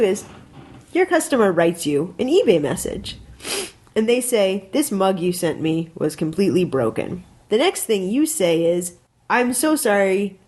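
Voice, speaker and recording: A woman's voice: medium pitch, a little mellow. An American woman co-hosting a podcast, giving casual advice in an instructive, emphatic way. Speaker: podcast co-host.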